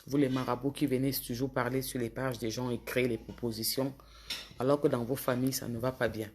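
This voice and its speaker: A woman with a cold, a bit hoarse, talking casually to viewers on a phone livestream and scolding commenters with indignation. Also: very deep voice, steady tone, a little fast, a little hiss or hum. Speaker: social media livestreamer.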